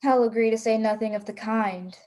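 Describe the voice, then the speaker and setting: Radio play heroine played by a woman: hunted, resolute, dramatic first-person storytelling in a soft-toned, fairly high voice. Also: fairly even intonation, fairly quick, close to an everyday voice. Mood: uneasy defiance. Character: frightened, resolute young woman, hunted shipwreck survivor.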